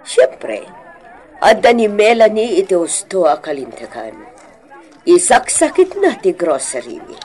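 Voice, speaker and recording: A woman playing a composed grocery boss, measured and firm with an Ilocano accent in a radio drama. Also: mid-range voice, big pitch swings, a little mellow, a faint crowd. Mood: calm, firm. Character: composed female grocery boss standing by her employee.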